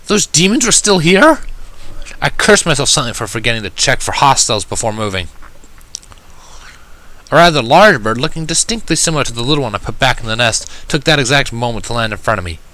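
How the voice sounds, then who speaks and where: A man reading a first-person story aloud with an expressive, wry, self-deprecating delivery. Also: medium pitch, brisk, rough recording. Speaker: fan fiction reader.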